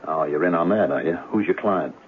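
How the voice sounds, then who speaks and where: Guy doing a scolding police lieutenant, talks fast, low voice, muffled hissy old radio sound. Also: natural voice. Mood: stern warning. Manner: brusque, scolding. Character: gruff, exasperated male police lieutenant warning off a detective. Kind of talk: 1970s radio drama acting.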